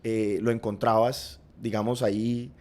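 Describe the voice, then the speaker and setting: A man with a Colombian accent, a podcast guest, reflectively recounting his family's past in an earnest, unhurried way. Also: lowish voice, flat delivery, darkish tone. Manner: earnest, reflective, frequent "digamos" and "pues" fillers. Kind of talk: personal storytelling in conversation.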